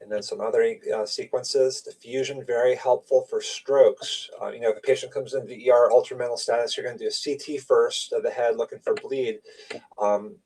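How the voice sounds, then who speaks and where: A man's voice: mid-range voice, steady tone, a little fast, mellow, slightly rough, recorded from a distance, poor audio. A man giving an informal medical lecture, explaining slides in clipped, conversational phrases. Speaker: radiology lecturer.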